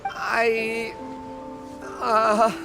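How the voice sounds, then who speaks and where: A woman's voice: on the high side, monotone, drawn-out words, vocal fry, exaggerated voice. A woman playing a flustered, deferential subject in a video game, pleading politely and hesitantly. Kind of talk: scripted video game voice acting. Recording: game music behind the voice.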